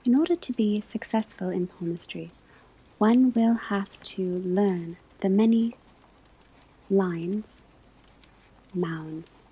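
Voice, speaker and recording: A woman voicing a calm professor of divination at a witch school, in a soft, breathy whispered ASMR lecture with measured, instructive delivery. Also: mid-range voice. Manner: slow, deliberate, measured, instructive. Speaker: ASMR roleplay performer. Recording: clear background ambience noise. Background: classroom ambience, crackling fireplace, pages turning.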